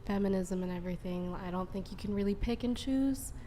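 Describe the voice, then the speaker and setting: A woman's voice: mid-range voice, a little fast, warm tone, a little hiss or hum. A young woman guest on a panel discussion, arguing firmly with hedging fillers.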